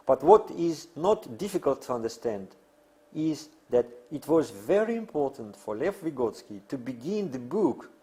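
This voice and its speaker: A man who is a non-native English speaker lecturing on theory in halting, deliberate phrases with emphatic pauses. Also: medium pitch, very animated, mellow. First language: not English. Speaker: academic lecturer.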